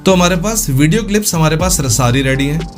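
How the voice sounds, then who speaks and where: A man hosting a casual step-by-step software tutorial, explaining informally and thinking aloud as he demonstrates. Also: a little high, lively intonation, brisk, soft background music. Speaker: tutorial host.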